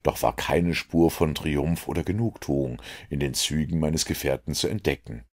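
A man narrating a detective novel as an audiobook, reading in an expressive, storytelling way. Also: very deep voice, moderate pace.

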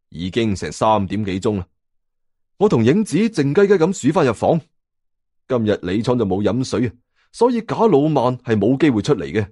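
A man's voice: mid-range voice, dark tone, dry recording, studio quality. A man with a Hong Kong Cantonese accent, narrating an audiobook in a dramatic first-person storytelling style.

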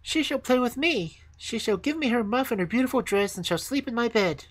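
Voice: mid-range voice, animated, a little fast, voice pushed up, lightly acted. A storyteller voicing a fierce, playful little robber girl who commands and threatens in a fairy tale. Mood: bossy, threatening.

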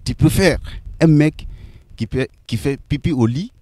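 A young man interviewing people in the street, teasing them with quick-fire questions, recorded on a handheld mic outdoors in a city square. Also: a little high, warm tone, dry recording.

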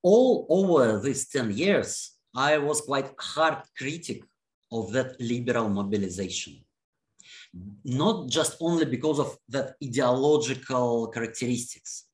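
A man with a Russian accent, speaking English as a second language, giving long deliberate answers in an interview and often restarting his words. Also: mid-range voice, animated. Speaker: interviewee, anti-war activist.

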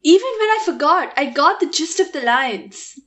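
A flustered young actress with an Indian accent , played by a woman in a radio play. Her voice is high, and she protests with defensive indignation. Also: lively intonation, normal speed, fairly bright tone. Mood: flustered, indignant. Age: young adult.

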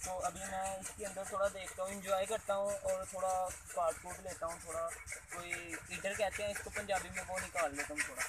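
A young man, his voice slightly high, points things out to viewers in a chatty village vlog. He is recorded outdoors in open fields on a camera mic, with clear noise and country ambience behind him. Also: little pitch movement, a little fast. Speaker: village vlogger. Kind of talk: casual vlog commentary. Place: outdoors in rural rice fields. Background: open-air rural ambience.

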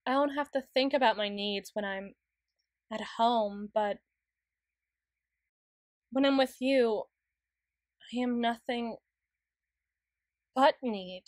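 A woman voicing a flustered, self-doubting, bookish young tsundere in a halting ASMR roleplay monologue. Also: fairly high pitch, dry recording, studio quality. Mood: hesitant, needy. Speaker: audio roleplay performer.

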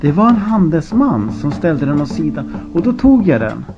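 Man voicing a pleading, high-pitched peasant wife. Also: very animated, lo-fi sound, over music. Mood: defiant, pleading. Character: poor starving peasant wife, defiant and weary.

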